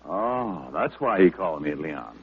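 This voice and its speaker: Tinny radio-era wisecracking private eye. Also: male voice, sits low, big pitch swings, normal speed, breathy edge, full character voice. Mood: cool needling. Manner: wisecracking, sardonic. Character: wisecracking male private detective, cool and sardonic. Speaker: detective narrator and lead. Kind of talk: 1950s radio detective drama acting. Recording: narrow, tinny old-time radio recording.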